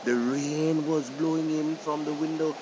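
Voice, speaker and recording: A man's voice: a little high, steady tone, unhurried, muffled recording, background noise, lightly acted. A man playing a frantic, pleading older grandfather with a Belizean Creole accent in a radio drama. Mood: distraught. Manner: urgent, pleading. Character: frantic grandfather searching for his missing granddaughter.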